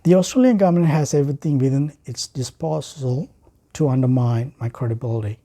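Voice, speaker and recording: Dark, husky Sri Lankan man giving a speech. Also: medium pitch, a little fast. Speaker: writer and speaker. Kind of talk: prepared speech.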